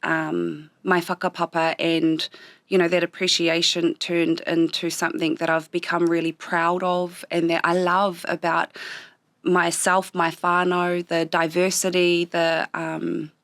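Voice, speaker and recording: A woman with a New Zealand accent, whose first language was Japanese, speaking as a guest on an interview show. She talks in a thoughtful, reflective way and pauses often with ums. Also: on the low side, monotone, a bit bright, dry recording.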